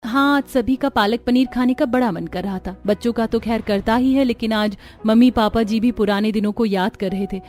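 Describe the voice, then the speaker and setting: A woman's voice: fairly high pitch, moderate pace, hardly any pauses, a little mellow, faint music behind, lightly acted. A woman playing a tender, worried young mother and wife, speaking earnestly in a cartoon family drama. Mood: gentle concern.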